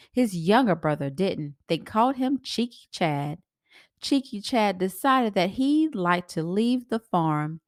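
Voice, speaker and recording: A woman's voice: medium pitch, dry recording, clean studio sound. A woman reading a children's storybook aloud expressively, explaining things to young listeners like a teacher. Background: background music.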